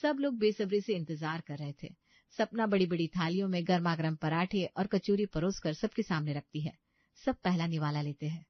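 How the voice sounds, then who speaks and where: A woman's voice: lowish voice, moderate pace, no echo, muffled recording. A woman reading scripted bedtime story narration.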